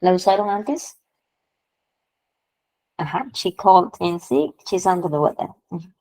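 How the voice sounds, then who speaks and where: A woman's voice: fairly low pitch, normal speed, mellow, dry recording, rough recording. A woman teaching English idioms in an online class, explaining patiently, repeating phrases and checking in with her students. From Peru.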